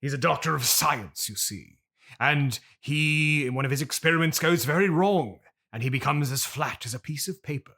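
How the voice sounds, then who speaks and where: A man's voice: a little high, normal speed, sharp tone. A man playing a pompous, aggrieved classically trained stage actor with a theatrical, declaiming manner in a comedy interview. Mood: earnest, self-important.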